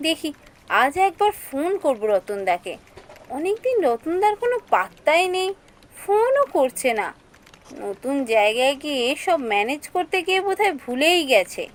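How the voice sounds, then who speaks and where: A woman's voice: high voice, big pitch swings, normal speed. A woman voicing an earnest young female office worker who acts and narrates her own cartoon story expressively. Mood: wistful.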